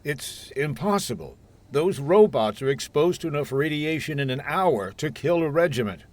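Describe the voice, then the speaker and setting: An actor playing a brisk, authoritative military colonel in a radio drama. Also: male voice, fairly high pitch, lively intonation, darkish tone, lightly acted. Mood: grave, puzzled. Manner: authoritative, commanding. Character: brisk male military colonel commanding an underground bunker.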